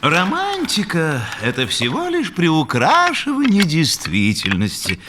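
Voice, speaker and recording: A man's voice: on the high side, wildly swooping pitch, unhurried, noisy background. A man voicing a pedantic, sardonic know-it-all scientist creature in a cartoon, lecturing pompously as he explains science. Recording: music behind the voice.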